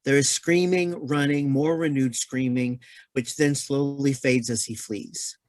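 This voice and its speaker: A man's voice: mid-range voice, fairly even intonation, measured pace, dry recording. A man reading stage directions as scripted narration for a play.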